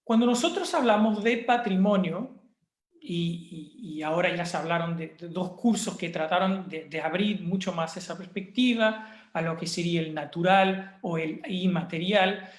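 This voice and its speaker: A man with a Chilean Spanish accent giving a university course presentation over a video call, thoughtful and pausing with fillers and restarts. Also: high voice, reverberant. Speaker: university lecturer.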